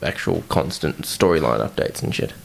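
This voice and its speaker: A man co-hosting a podcast in casual chat, relaxed and rambling, swearing freely. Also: very deep voice, normal speed, gravelly, a little hiss or hum. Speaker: podcast co-host.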